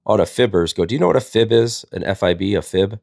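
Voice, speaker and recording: A man's voice: on the low side, quick pace, gravelly, a little mellow, dry recording, clean studio sound. A man, telling casual comedic stories in a rambling, folksy, jokey way as a livestream host. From Wisconsin, United States.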